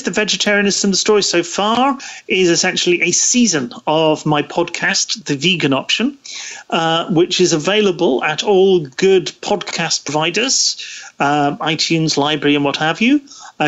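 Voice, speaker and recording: A man in his thirties talking as an interview guest in a thoughtful, halting way, restarting his phrases. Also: high-pitched, a bit bright, muffled recording. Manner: hesitant, thoughtful, halting delivery with stammered restarts. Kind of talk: casual interview conversation.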